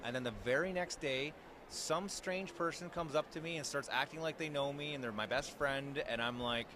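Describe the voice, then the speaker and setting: A young man who cosplays, telling convention stories in a loud, easygoing way during an interview. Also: a little high, moderate pace, background noise. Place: outdoors at a busy convention.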